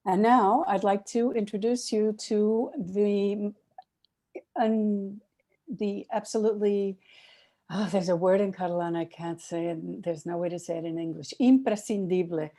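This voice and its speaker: A woman hosting an online festival, giving opening remarks and introducing the program in measured, formal phrasing. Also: mid-range voice, fairly even intonation, mellow.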